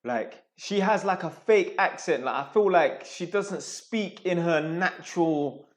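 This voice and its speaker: A man reacting to a clip on a stream, animated and repeating lines mockingly. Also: fairly high pitch, unhurried. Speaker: commentator on a live stream. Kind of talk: casual reaction commentary.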